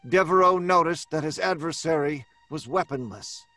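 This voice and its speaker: A man playing a writer who reads his thriller aloud with deliberate melodrama, in old-time radio style. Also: fairly high pitch, pushed and bright, faint music behind. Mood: suspenseful. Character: writer dramatically reading out his suspense thriller.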